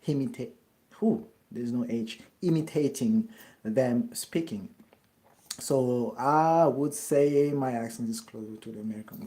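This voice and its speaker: French-accented young man, mellow voice. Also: mid-range voice. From France. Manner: hesitant, many fillers and restarts. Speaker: network marketing creator answering questions. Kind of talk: casual Q&A monologue.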